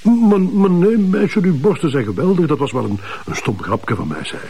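Husky, animated Flemish police chief. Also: male voice, fairly high pitch, normal speed, heavily acted. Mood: coaxing, flustered. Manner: bossy, defensive, interjecting tags. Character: pompous, evasive, irritable male police chief. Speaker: actor in a police drama series.

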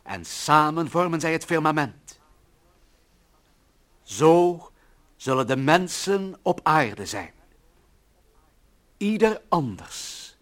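An actor playing an earnest, idealistic employee who defends humanity in solemn, bombastic radio-play oratory. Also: male voice, a little high, sing-song, slow, pauses often, fairly bright tone, heavily acted. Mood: solemn, visionary. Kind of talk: declamatory older radio-play acting.

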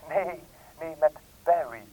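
An actor playing a nervous, evasive but polite caller, hesitant and heard through a telephone in a radio play. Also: male voice, a little high, takes it slowly, narrow, tinny audio. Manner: hesitant, courteous. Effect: telephone filter.

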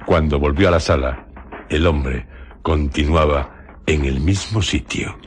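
A man narrating a radio drama in a scripted literary style, delivering it in measured, separate phrases. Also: extremely low pitch, slight background noise.

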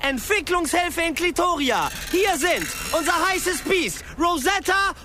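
A man's voice: very high-pitched, animated, unhurried, over music. An actor in a German radio crime drama playing a brash, foul-mouthed porn producer with a swaggering showman's manner. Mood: boastful, rousing.

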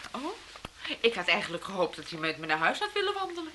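A woman's voice: medium pitch, very animated, fast talker, bright, forceful tone, slight background noise. An actress playing a prim, coy lady with genteel, polite manners in a comedy radio play. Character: prim, coy lady seeking an escort home.

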